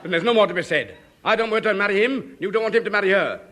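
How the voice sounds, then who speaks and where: Brusque, sharp, high-pitched rich father. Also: animated, very fast. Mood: dismissive. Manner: brusque, curt. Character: irascible, blunt wealthy father, protective of his daughter. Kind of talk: 1950s British radio sitcom acting. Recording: noisy vintage radio studio recording.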